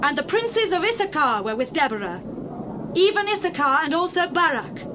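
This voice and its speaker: A woman's voice: very high-pitched, big pitch swings, normal speed. A woman playing an exultant biblical prophetess in a dramatized scripture reading, declaiming solemnly. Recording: clear background ambient noise.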